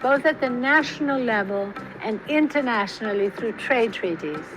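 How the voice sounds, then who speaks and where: A woman narrates a documentary in a steady, explanatory voice, pitched a bit high. The clip comes from a film soundtrack, and clear background noise sits under her words. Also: normal speed.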